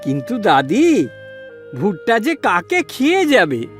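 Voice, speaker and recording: A man's voice: high voice, wildly swooping pitch, faint music behind, full character voice. An actor playing a doting old village grandfather, a humble doll maker, speaking tenderly in an audio story drama. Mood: pleading, earnest. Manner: slow, tender, drawn-out speech.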